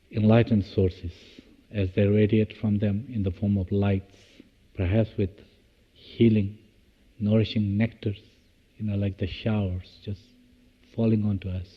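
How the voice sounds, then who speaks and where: A man leading a guided meditation, speaking in measured, halting short phrases with long pauses. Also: lowish voice, breathy edge, in a large hall, highs cut off. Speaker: meditation teacher.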